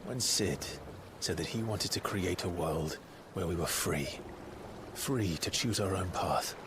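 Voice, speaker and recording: A man's voice: deep voice, a little slow, background noise. An actor, in his thirties, playing a brooding, resolute male rebel leader in a dramatic video game cutscene. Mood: impassioned, determined.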